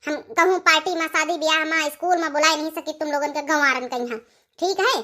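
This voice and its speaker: Woman playing a haughty, very shrill young wife, Awadhi accent. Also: a little fast, bright, forceful tone. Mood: cold contempt. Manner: haughty, curt, dismissive. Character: haughty young teacher wife, cold, contemptuous. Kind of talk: comic village cartoon dialogue.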